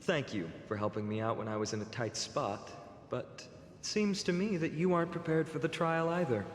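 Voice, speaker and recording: A man voicing an easygoing, poetic young warrior in a game cutscene, with theatrical, gently teasing delivery. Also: on the high side, moderate pace, a little hiss or hum, lightly acted. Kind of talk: video game cutscene acting. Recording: echoey long reverb, game music behind.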